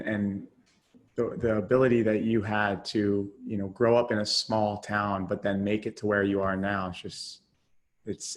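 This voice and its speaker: A man hosting a podcast interview, enthusiastic and praising as he sums up his guest's answers. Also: fairly low pitch. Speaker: podcast host.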